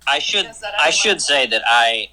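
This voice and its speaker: A young man from Michigan calling in to a podcast, talking casually and candidly with halting restarts. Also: medium pitch, lively intonation, crowd noise, low-quality recording. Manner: candid, self-deprecating, halting. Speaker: caller. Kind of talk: casual phone chat.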